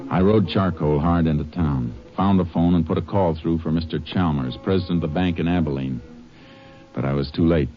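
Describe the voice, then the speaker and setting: A man's voice: sits low, fairly quick, lightly acted. An actor playing a terse, authoritative American lawman, a Texas Ranger, in a 1950s radio western. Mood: narrating. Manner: terse, authoritative, matter-of-fact. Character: stern, no-nonsense male Texas Ranger investigator. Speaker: lead actor and narrator. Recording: muffled old radio recording, music.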